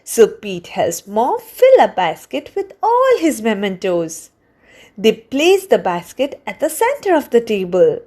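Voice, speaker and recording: A woman reading a children's storybook aloud in an expressive, deliberate way meant for young listeners. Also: a little high. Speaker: children's book reader.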